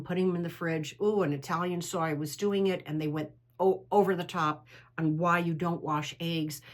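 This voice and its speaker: A woman in middle age with a fairly low voice, speaking her mind in an interview. She talks candidly and drifts from one story to the next, firm in her opinions and casual in tone. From United States. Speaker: women's travel club host. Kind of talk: interview answer, conversational monologue.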